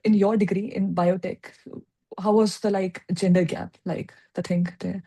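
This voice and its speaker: A woman's voice: medium pitch, little pitch movement, unhurried, warm tone. A woman hosting a casual interview, asking questions informally with lots of "like" fillers. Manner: informal, many "like" fillers, short reactions. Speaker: host, interviewer.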